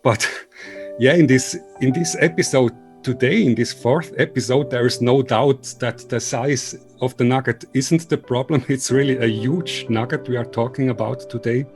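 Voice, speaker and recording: Swiss man, earnest and halting interviewer. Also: medium pitch, fairly even intonation, a little mellow, soft background music. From Switzerland. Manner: earnest, polite, halting speech. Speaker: interviewer and video series host. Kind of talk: informal remote interview.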